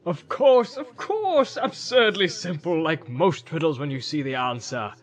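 A man voicing an excitable, learned old wizard with a commanding, theatrical manner, as a storybook character. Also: mid-range voice, moderate pace, lightly acted. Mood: gleeful. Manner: exclamatory, theatrical, swooping between amusement and urgency.

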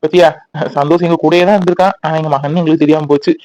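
Man doing an older father-in-law, heavy and gruff, dry close sound. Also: on the high side, steady tone, very fast, a little mellow, no room sound, natural voice. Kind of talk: Tamil domestic audio drama.

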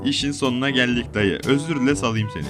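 A man's voice: medium pitch, fast talker. Taunting foul-mouthed man over music. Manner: taunting, crude, swearing constantly. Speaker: gaming streamer trolling other players. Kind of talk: profane banter during online roleplay gameplay.

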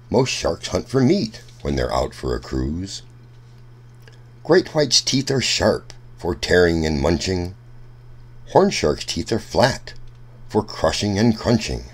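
A man reading a rhyming children's book aloud in a deliberate, sing-song storytelling manner. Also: low-pitched, sing-song, slightly rough.

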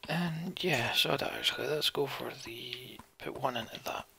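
A man doing let's play game commentary, reading on-screen text aloud and thinking out loud. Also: medium pitch, normal speed, gravelly.

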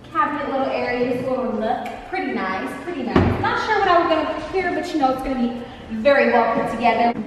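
A playful woman in her early twenties chats her way through an empty apartment. Her fairly high voice bounces around the bare walls with a long, hollow echo. The camera mic sits some distance away and picks up a steady hiss. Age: 20s. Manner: bubbly, chatty, playful. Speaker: vlogger. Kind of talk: casual apartment tour vlog. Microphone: camera mic, handheld and at a distance. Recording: echoey empty rooms, camera held away.

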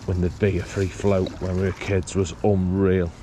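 A man's voice: low-pitched, monotone, normal speed. A British man chatting casually and informally to viewers as he fishes, often musing aloud in questions. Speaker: angler presenting a fishing video. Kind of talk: casual chat to viewers while fishing. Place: outdoors beside a small pond. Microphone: body-worn action camera. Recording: clear outdoor ambient and traffic noise.

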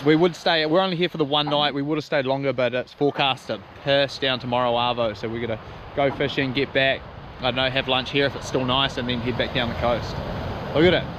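A man's voice: mid-range voice, steady tone, fast talker, a bit bright, highs cut off. A man in his thirties with a New Zealand accent, chatting casually and upbeat to a handheld camera at a beachside campsite. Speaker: vlogger. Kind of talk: casual travel vlog chat. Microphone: handheld action camera close up. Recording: outdoor background noise.

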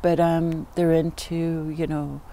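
Woman, sixties, mellow, unhurried, halting, personal recollection, documentary interview, backyard. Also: low voice, level tone, slight background noise. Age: 60s. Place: outdoors in a backyard.

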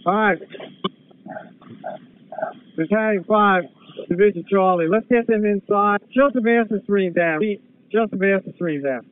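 Male fire operations officer, high voice, urgent and procedural, heard over a narrow radio channel. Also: a little slow, pauses often, smooth, no room sound. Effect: two-way radio. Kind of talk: emergency radio traffic. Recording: narrow tinny radio channel with noise and clicks.

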